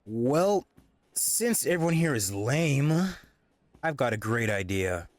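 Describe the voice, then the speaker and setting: A man's voice: mid-range voice, sing-song, slow pace, no room sound. A man playing a bored, pushy teenage boy in an audio drama. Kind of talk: acted audio drama dialogue.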